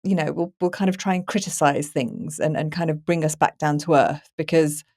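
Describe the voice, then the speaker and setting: A woman hosting a conversational film podcast, chatty and animated in the way she tells things. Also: low-pitched, a little fast. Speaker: podcast host and moderator.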